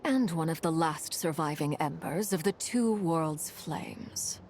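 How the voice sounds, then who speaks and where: A composed noblewoman warrior in a fantasy video game. Her voice is on the low side, and she speaks formally, with solemn, measured authority. Faint noise sits behind her. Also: a little mellow. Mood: solemn, reflective. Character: composed, commanding woman harbinger with cursed blood fire. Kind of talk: dramatic video game voice acting.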